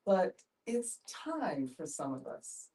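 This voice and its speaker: An older woman talks in a group discussion, picked up from some distance. She is reflective and halting, often searching for the right words. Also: on the low side, sing-song, dark tone. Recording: distant microphone.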